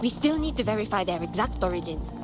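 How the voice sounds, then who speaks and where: A woman's voice: medium pitch, lively intonation, fast talker, over music, natural voice. A woman playing a diligent researcher who explains her findings in a video game. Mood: informative, friendly. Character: diligent female researcher reporting frequency data.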